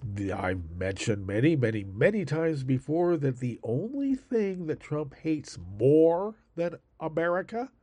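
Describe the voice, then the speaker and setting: A man's voice: on the high side, sing-song, warm tone, dry recording. Stuffed-up man hosting a show. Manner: rambling, halting with many fillers. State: stuffed up from allergies. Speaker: podcast host. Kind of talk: casual political commentary.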